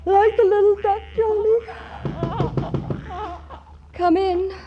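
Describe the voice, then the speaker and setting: An actress playing a weary, sharp young shopgirl in a 1940s radio comedy, wry and quick to retort, hesitant when fibbing. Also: very high-pitched, steady tone, highs cut off. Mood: tired, flustered. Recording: noisy old 1940s radio broadcast.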